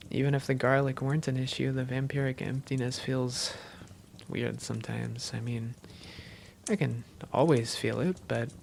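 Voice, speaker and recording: Woman playing a low, creaky-voiced vampire girlfriend. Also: darkish tone, a little hiss or hum, full character voice.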